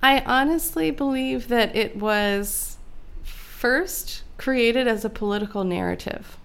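Gravelly-voiced woman explaining history. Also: a little high, fairly bright tone. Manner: measured, lecturing, frequent "um" and "right?". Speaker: guest expert on immigration history. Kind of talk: explanatory interview talk.

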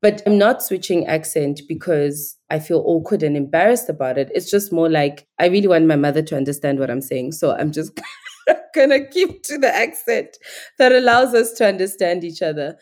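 A woman's voice: sits low, moderate pace, a little mellow. A woman from Nigeria, a podcast guest, talking in a thoughtful, articulate and earnest way. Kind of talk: reflective conversational interview.

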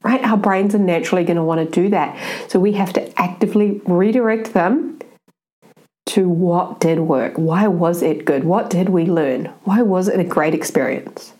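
A woman's voice: on the low side, normal speed, a little mellow. A woman with a blocked-up, congested nose, giving an earnest motivational talk on self-love and often asking "right?". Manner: earnest, keeps adding "right?". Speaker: self-help speaker.

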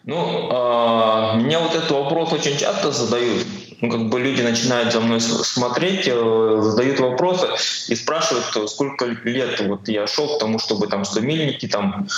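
A man in his forties answering interview questions casually, telling his own story in halting, broken phrases as he thinks aloud. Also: mid-range voice. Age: 40s. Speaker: podcast guest, amateur barefoot ultrarunner. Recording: very echoey room, faint background noise.

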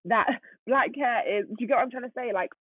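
Young woman, husky voice, emphatic casual podcast chat, thin phone-like sound. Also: a little high, fast talker, no room sound. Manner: emphatic, animated, talks over others. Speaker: podcast co-host. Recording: dry, tinny phone-like sound.

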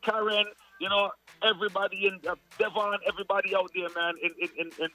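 A man from Guyana with a Guyanese accent and a light Jamaican lilt, telling stories in a relaxed, casual interview as a guest musician. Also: high-pitched, quick pace, poor audio. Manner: laid-back, storytelling, repeats words as he restarts.

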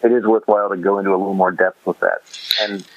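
A man's voice: low voice, flat delivery, fast talker, dull audio. A man chatting casually as a podcast guest, easygoing and given to rambling explanations, over a remote call. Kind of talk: casual conversational chat.

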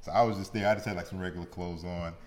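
A man hosting a casual interview, telling stories in an animated way and acting out what people said. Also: low-pitched, rapid-fire. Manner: animated storyteller, acts out quotes. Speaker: podcast host. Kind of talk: casual conversation.

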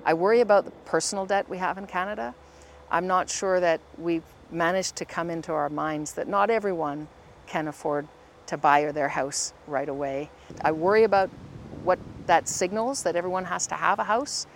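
A woman's voice: lowish voice, normal speed, vocal fry, a little hiss or hum. A middle-aged woman speaking in a concerned, reflective way in an interview. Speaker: interviewed voter.

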